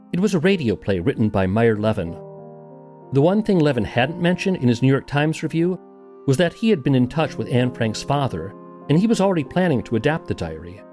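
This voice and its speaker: A man's voice: mid-range voice, a little mellow. A man hosting a podcast, reading scripted documentary narration. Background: music.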